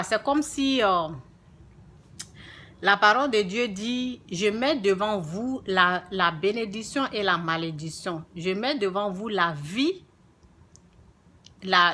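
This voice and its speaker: Female religious vlogger, francophone African accent. Bright, forceful voice, unhurried delivery, emphatic repetition. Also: medium pitch. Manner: emphatic, repeats phrases for stress. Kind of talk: informal spiritual talk to viewers.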